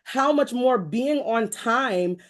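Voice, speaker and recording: An American woman hosting a conversational, faith-based interview, speaking in an effusive, rambling way with expressive asides. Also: fairly high pitch, takes it slowly. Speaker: show host interviewing a guest.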